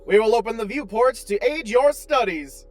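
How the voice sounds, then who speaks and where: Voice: medium pitch, lively intonation, moderate pace, soft background music, natural voice. An actor playing a chipper, oblivious, unfailingly polite tour guide AI in a space audio drama, speaking with formal, cheerful precision.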